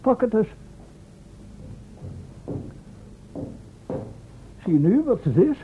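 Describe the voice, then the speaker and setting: A man's voice: sing-song, quick pace. A man playing an impatient, worried professor and inventor in a Dutch radio play, hesitating and mildly scolding. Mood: excited. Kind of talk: Dutch radio drama acting. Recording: thin phone-like old radio recording, noisy, rumble.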